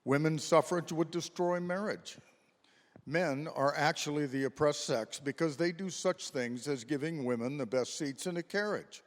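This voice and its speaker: A man's voice: on the high side, normal speed. Male narrator in a reverberant hall. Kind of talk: scripted stage narration. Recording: echoey hall with long reverb.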